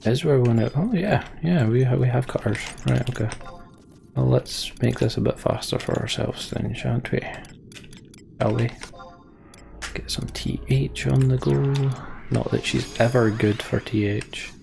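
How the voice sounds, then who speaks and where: A man's voice: mid-range voice, a little slow, creaky, darkish tone, slight background noise. A man giving casual live game commentary, rambling as he thinks aloud. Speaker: game streamer.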